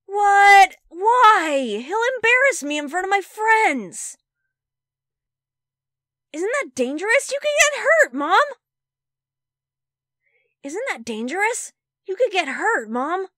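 A woman voicing an excitable, pleading young boy in an animated character audition. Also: extremely high pitch, slow, pauses often, no echo, studio quality, pitched above the performer's own voice, exaggerated voice. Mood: indignant, worried. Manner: eager, exclamatory, pleading.

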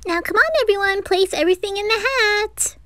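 A woman's voice: very high voice, a little fast, a bit bright, no room sound, pitched above the performer's own voice. A woman playing a perky, teasing teenage pop-idol girl in a hobby anime audio drama. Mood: playful, teasing. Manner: bubbly, teasing.

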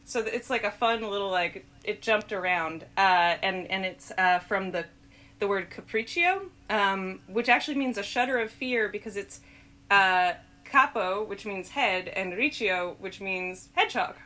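A woman in her thirties hosting a casual word quiz over a video call, bubbly and quick to laugh, with lots of filler words, on a headset mic in a small room. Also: medium pitch, pushed and bright. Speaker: host. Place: small room with blue backdrop.

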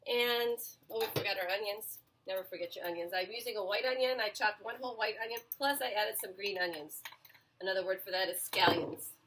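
A woman's voice: mid-range voice, a little fast, noisy background. Chatty woman cooking in her kitchen. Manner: chatty, talks to viewers while cooking, with filler and self-corrections. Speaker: cooking show host. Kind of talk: casual home cooking demo. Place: small home kitchen. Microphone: camera mic a few feet away. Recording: home kitchen with clattering pans.

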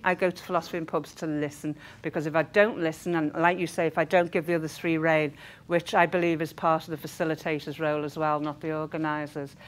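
A middle-aged woman speaking earnestly and emphatically in an informal training talk to a group. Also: low voice. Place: meeting room, indoors. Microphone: clip-on lapel mic.

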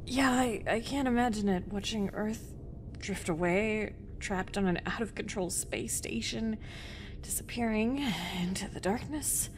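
A woman's voice: measured pace, close to an everyday voice. A woman playing a worried, doubting young astronaut with a hesitant manner in an audio roleplay. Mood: anxious, hesitant. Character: anxious, doubting, easily exasperated young female astronaut. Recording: faint low rumbling noise.

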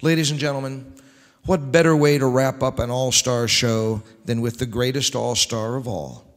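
A man's voice: mid-range voice, fairly even intonation, normal speed. A middle-aged American man hosting a show with formal, showman-like, scripted old-time radio announcing. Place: large church hall, on stage before a live audience. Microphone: microphone on a stand. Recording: long hall reverb.

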